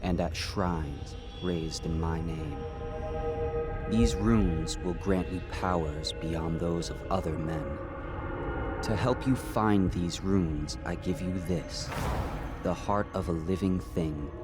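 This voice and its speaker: Slow, very low enigmatic god. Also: male voice, over loud music. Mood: solemn, portentous. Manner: measured, cryptic, solemn. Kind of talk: scripted video game monologue.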